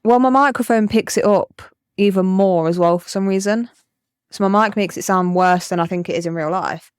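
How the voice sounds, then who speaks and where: A young woman co-hosting a podcast in casual chat, her naturally croaky voice made hoarser by a cold. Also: medium pitch, moderate pace. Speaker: podcast co-host.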